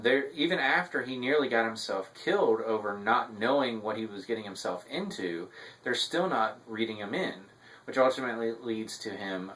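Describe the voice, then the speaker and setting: A man delivering a semi-scripted recap and review as commentary, with a halting delivery full of filled pauses. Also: lowish voice, a bit bright, a little hiss or hum, poor audio. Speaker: TV episode reviewer.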